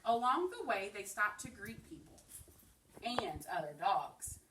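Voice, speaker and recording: A woman reading a picture book aloud to young children, pausing to ask them questions and echo their answers. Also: mid-range voice, sing-song. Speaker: preschool teacher reading aloud. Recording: roomy, distant mic, faint noise.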